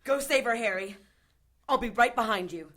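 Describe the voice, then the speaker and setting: A woman's voice: a little high, normal speed, a bit bright, lightly acted. A woman playing a tough, wisecracking wounded character in an audio drama, blunt and bossy through the strain. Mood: urgent, insistent.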